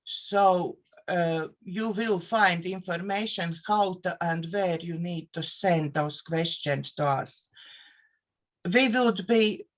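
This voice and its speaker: A woman with a Latvian accent speaks slowly and deliberately, pausing on "uh" as she picks her English words. She introduces herself to viewers as a coach and therapist. The sound is a little dull and lo-fi. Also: fairly low pitch. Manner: careful non-native English with hesitant fillers. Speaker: host, life coach and therapist. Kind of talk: self-introduction to camera.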